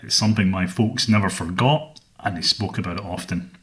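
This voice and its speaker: Middle aged scottish guy hosting his own podcast, lowish voice, calm and earnest. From Scotland. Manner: measured, earnest, thoughtful. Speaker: podcast host. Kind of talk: solo podcast narration.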